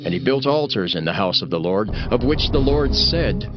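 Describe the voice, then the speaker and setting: A man's voice: lowish voice, lively intonation, normal speed. A man narrating scripture from a script in a solemn, dramatic storytelling style. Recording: dull sound under loud music. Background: music.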